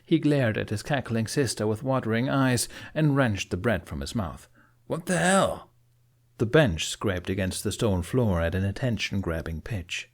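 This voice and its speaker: A man's voice: fairly low pitch, moderate pace, few pauses. A man reading scripted fiction as an audiobook narrator.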